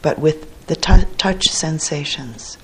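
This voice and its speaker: A woman teaching a guided meditation in a gentle, measured manner with pauses between short phrases and a low, husky voice. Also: slight background noise, low-quality recording.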